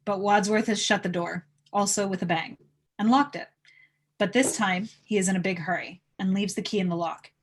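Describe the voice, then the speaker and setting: A woman narrates a screenplay at an informal table read. Her voice sits at a medium pitch as she reads out scene headings and action. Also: fairly even intonation, normal speed. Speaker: narrator reading stage directions.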